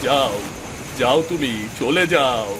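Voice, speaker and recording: An actor voicing a bitter, self-pitying village farmer in a moral-story cartoon, dramatic and complaining. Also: male voice, mid-range voice, slow pace, noisy background, close to an everyday voice. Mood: angry, blaming.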